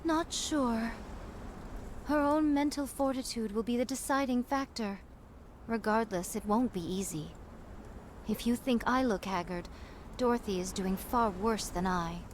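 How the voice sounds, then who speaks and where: A grave warrior woman in a game, voiced by an actress, speaks very slowly in a fairly high voice. Her phrasing is stately and archaic and she sounds weary, with faint ambience behind her. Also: slight background noise, lightly acted.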